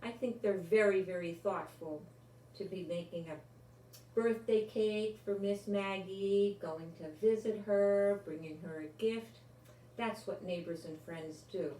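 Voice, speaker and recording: An older woman, a grandmother, reads a children's story aloud with deliberate, expressive delivery and adds her own asides. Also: mid-range voice. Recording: roomy, distant microphone, faint background noise.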